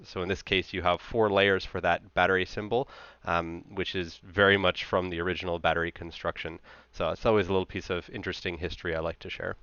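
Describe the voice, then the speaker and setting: A man gives an informal technical talk in a notably low voice, explaining things step by step in a conversational way. He sounds like a conference presenter on a headset. The recording is very dry and a little muffled. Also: a bit bright, dry recording.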